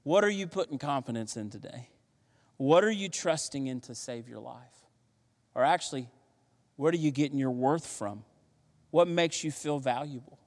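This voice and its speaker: A man preaching a sermon as pastor, lively and playful, with slangy asides. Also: medium pitch, moderate pace, pauses often, big-room sound.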